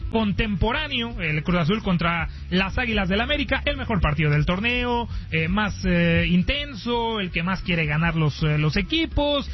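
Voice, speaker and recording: A man with a high voice hosts a sports talk show on the radio. He talks in a lively, halting way, with lots of "eh" fillers, and teases his co-hosts. The sound is a bit muffled, like a radio broadcast. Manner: lively, hesitant with frequent "eh" fillers, teasing. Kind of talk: casual sports radio banter. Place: radio studio.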